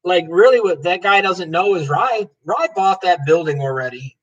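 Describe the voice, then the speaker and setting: A man's voice: high-pitched, animated, normal speed, dull audio. A man hosting a live stream, chatting loosely and joking as he reads viewers' comments aloud and answers them by name. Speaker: livestream host. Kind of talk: casual livestream chat reading comments.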